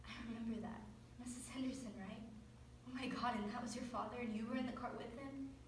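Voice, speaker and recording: A woman's voice: a little high, steady tone, fast, lightly acted. A young woman on stage playing a grieving, embattled mother of a disgraced teenage son. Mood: subdued, hurt. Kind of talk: high school readers theater performance. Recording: very echoey room, distant mic, faint noise.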